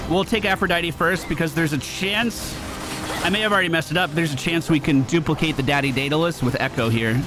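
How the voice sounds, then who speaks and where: Casual male streamer, slightly high register, jokey play-by-play patter with game music clearly behind him. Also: low-quality recording. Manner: casual, running self-talk, jokey. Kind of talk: live gameplay commentary.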